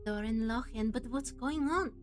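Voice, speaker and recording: A woman's voice: fairly high pitch, normal speed. A woman giving casual game playthrough commentary, wondering aloud and questioning what happens. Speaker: game streamer. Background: game music.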